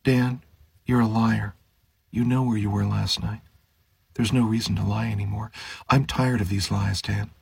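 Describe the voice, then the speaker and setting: Stern, reproachful mother figure, played by a man, lifted pitch, breathy rasp, audiobook drama. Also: fairly even intonation, no echo, studio quality, exaggerated voice. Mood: accusing. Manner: stern, deliberate.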